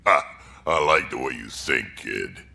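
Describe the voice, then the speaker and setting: An actor playing a gruff old alien grandfather and colony veteran in a video game, blunt and wry with a very gravelly voice. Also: unhurried, pushed and bright, heavily acted. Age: older. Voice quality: very gravelly, rumbling. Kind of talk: video game dialogue acting.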